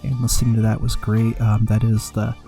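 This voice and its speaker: A man with a mellow, soft-edged voice talks casually as a podcast host, a little nervous and apologetic. He is picked up by a computer mic, with some music playing behind him. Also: fairly low pitch, completely flat delivery, brisk. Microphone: computer's built-in microphone.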